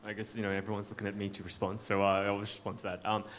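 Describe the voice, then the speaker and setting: A man's voice: lowish voice, monotone, quick pace, a bit bright. A man on a conference panel answering an audience question in an informal way. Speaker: panelist representing a blockchain foundation. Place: large event space at a conference. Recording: echoey noisy hall, event PA.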